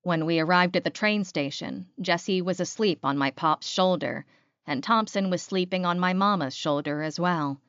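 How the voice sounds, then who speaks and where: A woman's voice: on the low side, normal speed, a bit bright, smooth, no echo, lo-fi sound. A woman reading first-person fiction aloud as an audiobook narrator, in a scripted storytelling style.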